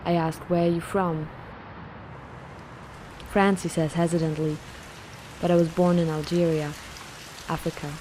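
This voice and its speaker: A woman reading a reflective, poetic voice-over narration in a calm, measured way. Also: on the low side, a little hiss or hum, poor audio.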